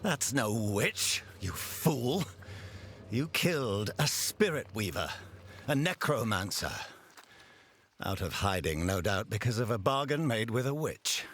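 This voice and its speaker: An actor playing an imperious, scornful middle-aged ruler in a fantasy game, with a husky voice and commanding, disdainful delivery. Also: male voice, fairly low pitch, big pitch swings, fairly bright tone, slight background noise. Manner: commanding, theatrical, deliberate disdain. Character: imperious ruler lording over his commanders, scornful and cold. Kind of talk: dramatic fantasy game acting.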